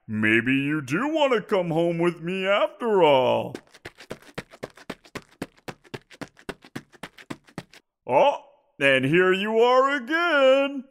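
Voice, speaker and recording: A man performs a greedy giant creature who toys with his captive, his voice climbing and swooping with mocking amusement. He lingers over his words, slow and deliberate, smug in his power. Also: very high-pitched, a bit bright, heavily acted. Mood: teasing. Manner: playful, teasing, drawn-out. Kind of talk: audio roleplay.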